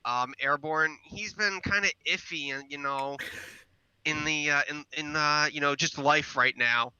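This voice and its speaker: A man's voice: on the high side, measured pace, pushed and bright, clean tone, no echo, muffled recording. A man co-hosting a casual gaming podcast, rambling with many fillers and restarts. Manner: rambling, stop-start delivery with many fillers. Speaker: podcast co-host.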